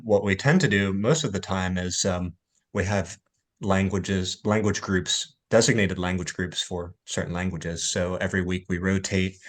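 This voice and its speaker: A man with a low voice hosts an online language game. He talks in a relaxed, chatty way, often pausing on fillers. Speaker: host and game master. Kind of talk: casual online chat.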